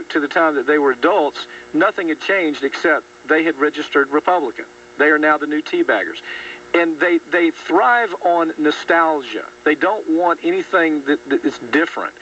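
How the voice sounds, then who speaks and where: A man's voice: a little high, fairly bright tone. A man hosting a political talk radio show, talking indignantly in a rambling way with many restarts. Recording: distant, muffled radio rebroadcast with faint hum.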